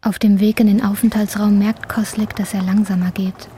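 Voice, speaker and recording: A woman's voice: medium pitch, level tone, normal speed, dark tone, slight background noise, close to an everyday voice. A woman narrating a radio drama as a detached storyteller, sober and literary in manner. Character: detached female narrator of an unsettling hospital story.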